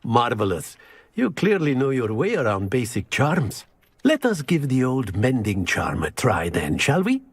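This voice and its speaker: A man's voice: mid-range voice, huge pitch swings, measured pace, slightly rough, no room sound, heavily acted. A man playing a jovial, theatrical professor of spells in a video game, grand, precise and encouraging. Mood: cheerful, encouraging. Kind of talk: scripted video game acting.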